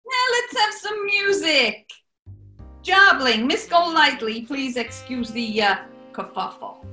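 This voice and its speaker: A woman's voice: sits high, sing-song, takes it slowly, pushed and bright, soft background music, exaggerated voice. A woman playing a bossy male gangster club owner with a New York accent, menacing yet genial, in a 1940s radio comedy. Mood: genial host. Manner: bossy, smooth-talking. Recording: echoey room.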